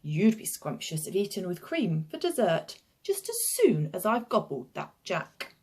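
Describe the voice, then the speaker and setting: A greedy old giant from a children's storybook, acted out by a woman, grumbles and threatens in a booming chant. The delivery is slow and animated, with a husky edge. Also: full character voice. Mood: grudging, scheming. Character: grumpy old male giant, greedy grouch, grumbling and menacing.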